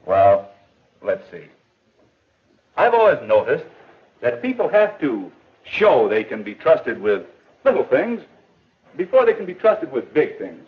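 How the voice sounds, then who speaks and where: An actor playing a patient father who gently advises his son in a reassuring, lecturing way, with a husky voice. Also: medium pitch, wildly swooping pitch, a little slow, pauses often, exaggerated voice. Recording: dull old film soundtrack.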